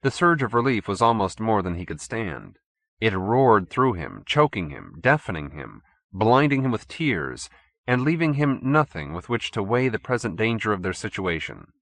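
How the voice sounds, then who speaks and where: Animated male audiobook narrator, very dry. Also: lowish voice, normal speed, dry recording. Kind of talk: scripted fiction narration.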